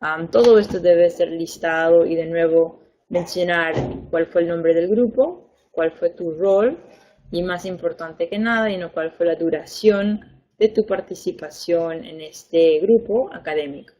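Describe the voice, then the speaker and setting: A young woman education advisor, a native Spanish speaker, giving a webinar lesson in a clear, patient way, stressing points by repeating words. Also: fairly low pitch, unhurried, dark tone, highs cut off. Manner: clear, patient explaining with fillers and repeated emphasis.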